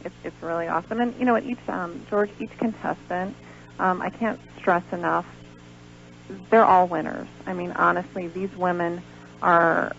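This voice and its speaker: Lady calling into a radio show, lowish voice with vocal fry, earnest, dull line with faint noise. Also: fairly bright tone. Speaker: radio interview guest, pageant director. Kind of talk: live promotional radio interview chat. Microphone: calling in by phone. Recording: lo-fi phone-in on radio, noisy hum.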